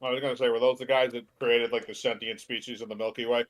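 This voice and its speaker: A man's voice: medium pitch, flat delivery, fast, poor audio. A man co-hosting a game stream, chatting in an informal, joking way over the gameplay. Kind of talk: casual chat over gameplay. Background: game music.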